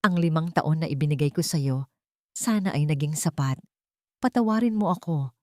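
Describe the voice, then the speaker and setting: A woman's voice: low-pitched, animated, moderate pace, a little mellow, no echo, studio quality. A woman with a Filipino accent voicing a heartbroken, plaintive betrayed wife in an audiobook drama. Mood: weary, sorrowful. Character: weary, heartbroken betrayed wife, loving and desperate.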